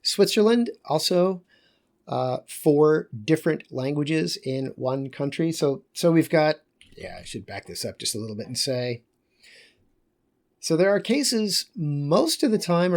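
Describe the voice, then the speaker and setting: A man lecturing on geography in a loose, conversational way, pausing and correcting himself as he goes. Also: a little high, animated, darkish tone.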